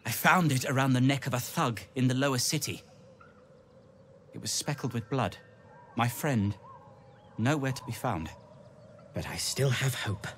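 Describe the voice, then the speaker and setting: A man playing an earnest, loyal wanderer in a video game, speaking solemnly and deliberately. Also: mid-range voice, a bit bright, a little hiss or hum, natural voice. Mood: earnest, worried. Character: earnest, loyal male wanderer searching for a friend. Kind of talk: video game dramatic acting.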